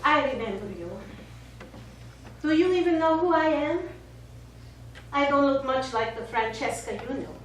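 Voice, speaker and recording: An actress on stage playing a bitter, wounded older Italian woman, speaking in a deliberate, accusing manner. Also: high voice, close to an everyday voice. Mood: guarded, bitter. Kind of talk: stage play acting. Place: small theatre studio, recorded from afar. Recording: echoey, distant stage recording with hiss.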